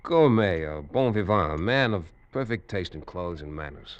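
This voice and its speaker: An actor playing a calm, methodical American detective in old radio drama style, measured, probing and politely businesslike. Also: male voice, low voice, muffled recording. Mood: measured, explanatory. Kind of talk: 1940s-50s radio drama acting.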